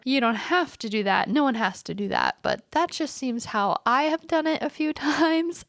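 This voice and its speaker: A woman's voice: fairly high pitch, clean tone, no room sound, clean studio sound. A woman in her twenties hosting a solo podcast, rambling and chatty while congested from a cold. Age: 20s. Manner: rambling, chatty, self-deprecating. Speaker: podcast host. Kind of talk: casual solo podcast monologue.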